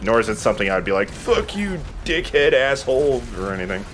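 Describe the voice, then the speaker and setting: A man's voice: mid-range voice, big pitch swings, a little fast, fairly bright tone, slight background noise. A man chatting casually as he commentates on his gameplay, in an informal, self-deprecating way. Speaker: let's player playing and commentating. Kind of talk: casual gaming commentary chat.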